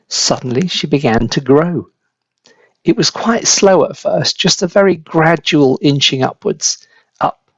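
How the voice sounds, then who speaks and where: A man reading a children's story aloud as a dramatic, deliberate, expressive narrator. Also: a little high, breathy edge, no room sound, dull audio. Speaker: storybook reader.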